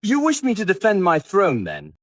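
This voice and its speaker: A man's voice: sits high, huge pitch swings, normal speed, a bit bright, dry recording, clean studio sound, voice pushed up, exaggerated voice. A man voicing a witty, languid English aristocrat in an audiobook, teasing and aphoristic in a theatrical way. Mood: amused, teasing. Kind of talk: audiobook character dialogue.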